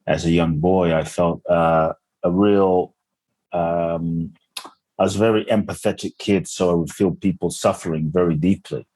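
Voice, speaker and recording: A man born in Ghana and raised in London, a spiritual speaker answering interview questions calmly and earnestly. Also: sits low, a little slow, dry recording. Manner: calm, earnest, personal storytelling. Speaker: podcast guest, spiritual speaker. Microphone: remote call audio.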